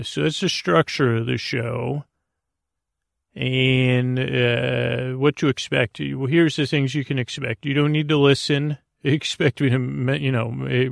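A man with a creaky, gravel-edged voice rambles through a bedtime podcast monologue. He talks hesitantly, circling and wandering from one tangent to the next. The sound is close and dry. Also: mid-range voice, flat delivery, unhurried, no echo. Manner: meandering, hesitant, trailing off into tangents. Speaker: podcast host.

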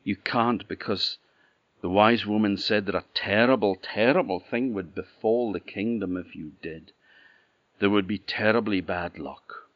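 A man voicing a gentle queen who pleads softly and anxiously. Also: on the low side, measured pace, dry recording, lightly acted. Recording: dull lo-fi recording.

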